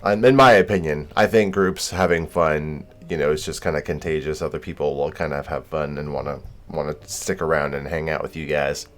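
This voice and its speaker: A man's voice: a bass voice, fairly even intonation, a little fast, few pauses, a bit bright, faint music behind. A man live streaming a game, chatting casually in an encouraging, conversational way. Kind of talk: casual chat.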